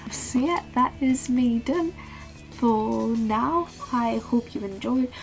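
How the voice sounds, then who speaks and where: A teenage girl chats about her favourite books in a relaxed vlog. Her voice sits a little high and stays calm and fairly flat, with a slightly awkward, low-key delivery. Soft music plays faintly underneath. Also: slow pace, vocal fry, rough recording. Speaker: book vlogger. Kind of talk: casual book tag vlog.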